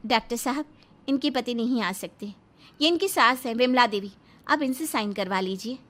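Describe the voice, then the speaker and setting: An indignant Indian wife as a woman narrator acts her in a cartoon family story. The voice is pitched up and outspoken, insistent in its delivery. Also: animated, normal speed, fairly bright tone. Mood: pleading. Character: indignant, outspoken Indian wives and daughters of household. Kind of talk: acted storybook dialogue.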